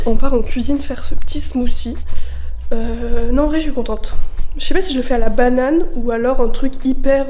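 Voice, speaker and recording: A woman's voice: on the high side, darkish tone. A young woman in her twenties chatting in a casual vlog, her voice worn from a chest cold, upbeat and full of fillers. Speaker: vlogger. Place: at home, partly out on a terrace.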